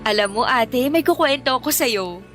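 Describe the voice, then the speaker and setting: A woman playing a poor, wistful shop vendor with a secret, in expressive Filipino radio drama dialogue. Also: on the high side, moderate pace, faint music behind. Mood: earnest, confiding.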